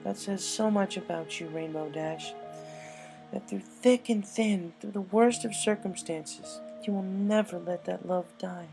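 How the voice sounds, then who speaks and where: A woman's voice: mid-range voice, fairly even intonation, measured pace, creaky, over music, voice pushed up. A woman voicing a thoughtful, supportive young female pony in a story, earnest and articulate. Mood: reassuring.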